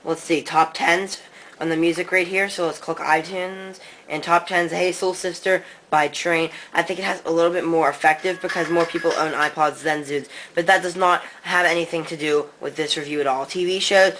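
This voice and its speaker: A man's voice: on the high side, steady tone, moderate pace, fairly bright tone, slight background noise, poor audio. A man co-hosting a tech comparison, casually talking through a device demo. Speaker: co-host, tech reviewer. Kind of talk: casual gadget review demo.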